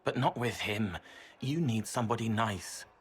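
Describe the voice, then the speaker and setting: An actor playing a gentle, otherworldly young man in a video game, speaking in cryptic, poetic fragments. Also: lowish voice, lively intonation, normal speed, natural voice. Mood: gentle, earnest.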